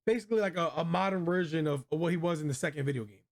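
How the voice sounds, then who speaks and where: A man with an American accent and a voice a bit above average in pitch. He hosts a relaxed film review, speaking in loose slang with plenty of enthusiasm. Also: very fast. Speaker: host of a movie review show. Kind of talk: casual chat, film review.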